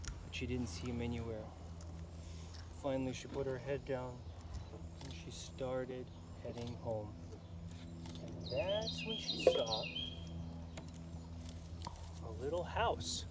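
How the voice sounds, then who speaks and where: A man telling a folktale live to children in an expressive, dramatic manner, pausing to ask his listeners questions. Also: medium pitch. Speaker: storyteller. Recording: noisy live recording, clear background noise.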